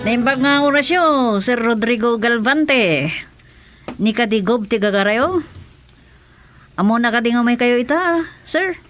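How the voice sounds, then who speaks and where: A deferential office receptionist in a radio drama. She speaks politely in a fairly high female voice with lively, varied intonation. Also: unhurried, pauses often, faint music behind. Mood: courteous. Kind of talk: Ilocano radio drama acting.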